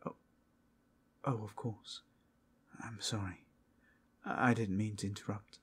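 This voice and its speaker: ASMR roleplay half-angel male performer: submissive, shy, polite, very husky and unhurried. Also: lowish voice, fairly even intonation. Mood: timid, apologetic. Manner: timid, polite, apologetic.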